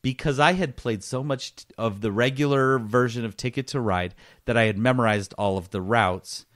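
A man's voice: mid-range voice, normal speed, a bit bright. A man co-hosting a gaming podcast in casual, joking chat, giving slangy mock instructions. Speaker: podcast co-host.

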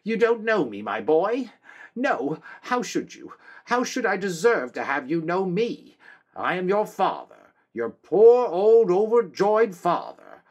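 A feeble, wheezing old schemer. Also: male voice, sits high, very animated, slow, darkish tone, pitched above the performer's own voice, full character voice. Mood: wheedling, self-pitying. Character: wheedling old man, self-pitying villain.

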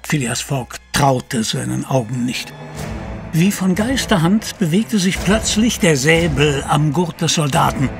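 A courtly storyteller narrating an audio drama over clear music. His voice sits somewhat high, and he speaks formally and theatrically, with great courtesy. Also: animated, unhurried. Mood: suspenseful.

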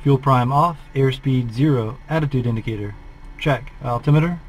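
A man's voice: mid-range voice, normal speed, slight background noise. A flight instructor in his forties, calling out a preflight checklist in a methodical, clipped way from a small plane cockpit on a headset.

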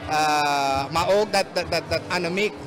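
A man's voice: on the high side, normal speed, over music. A middle-aged man artist in a casual interview at a gallery opening, hesitant and repeating words, speaking into a handheld mic. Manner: hesitant, many fillers and repeats. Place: busy gallery opening, indoors.

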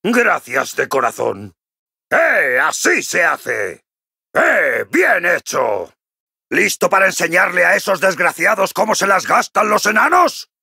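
A man with a Castilian accent from Spain voicing a boisterous, cocky, jovial dwarf warrior for a video game, gruff and raspy, hearty and full of exclamations. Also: sits high, very animated, takes it slowly, sharp tone, dry recording, studio quality, exaggerated voice. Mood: hearty, eager. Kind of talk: video game character voice lines.

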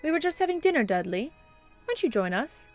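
An actress playing a kindly, long-suffering bishop's wife in an audio drama, gentle and solicitous. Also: female voice, high-pitched, big pitch swings, brisk, soft background music. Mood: gracious. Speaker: actor. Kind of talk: radio-play style drama acting.

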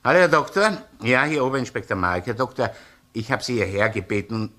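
An actor playing a gruff, shrewd senior police inspector with a Viennese accent in a crime drama, sardonic and probing. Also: male voice, mid-range voice, expressive, brisk, pushed and bright. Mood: courteous, inquisitive. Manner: sardonic, interrogating, hesitant muttering between questions. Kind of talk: naturalistic crime radio or TV drama acting.

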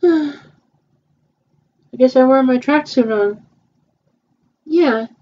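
A woman in her twenties with a fairly high, mellow voice. She talks haltingly, stopping and restarting with fillers. The sound is muffled and lo-fi, like a cheap home recording. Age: 20s. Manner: hesitant, halting, many repeats and fillers. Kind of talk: improvised fan roleplay dialogue.

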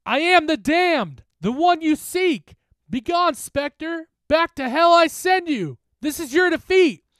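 Man playing a shouting, defiant teenage boy. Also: very high-pitched, lively intonation, no echo, clean studio sound, voice pushed up, heavily acted. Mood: desperate, intense. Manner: slow, emphatic shouted incantation. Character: desperate, defiant teenage boy chanting a banishing spell.

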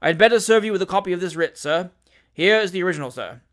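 Man performing Victorian lawyer, high voice, pompous, oratorical, falsely polite, taunting, storybook reading. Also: fast, a bit bright. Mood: stern, triumphant. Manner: oratorical, emphatic, sternly self-important.

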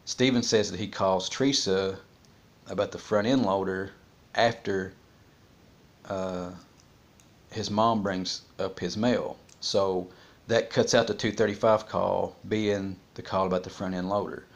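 Low-pitched, halting middle-aged man. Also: steady tone, creaky. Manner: halting, thinking aloud. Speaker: vlogger discussing a criminal case. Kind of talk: casual, unscripted monologue. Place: small room at home, indoors.

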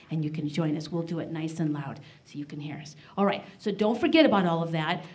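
A woman hosting and narrating a live children's show, giving clear instructions and encouraging listeners to join in. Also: mid-range voice, darkish tone. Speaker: host and narrator. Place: live performance in a library room before an audience.

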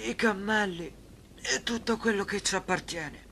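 An actor voicing a naive, trusting teenage boy, the youngest brother, in an animated film dub. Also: mid-range voice, measured pace, gravelly, a little hiss or hum. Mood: earnest, guileless. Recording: music behind the dialogue.

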